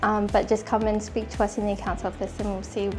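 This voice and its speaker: A woman from a college accounts office speaks in a friendly, conversational way, assuring listeners. Soft music plays behind her. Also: medium pitch, little pitch movement, fast. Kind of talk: promotional interview.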